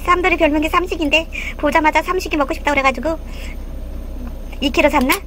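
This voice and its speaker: A middle-aged woman chatting casually and narrating a camping trip, giving brisk cooking instructions to her partner. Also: high-pitched, pushed and bright, slight background noise. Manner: bossy instructions while cooking.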